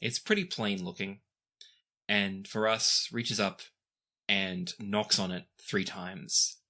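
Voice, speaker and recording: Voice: deep voice, slow, bright, forceful tone. A game master narrating a tabletop fantasy game in a conversational, descriptive way, with casual asides.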